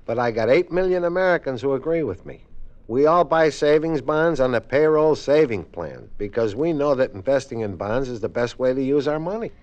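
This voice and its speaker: An actor playing an exasperated, earnest, thrifty husband in a comic 1950s radio advert. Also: male voice, mid-range voice, animated, a little fast, darkish tone, lightly acted. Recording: dull 1950s radio recording.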